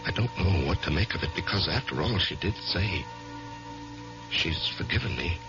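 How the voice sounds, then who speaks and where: An actor playing a troubled, ambitious lawyer in his forties, measured and hesitant, in an old-time radio mystery. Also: low voice, sing-song, over music. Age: 40s. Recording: dull vintage radio recording.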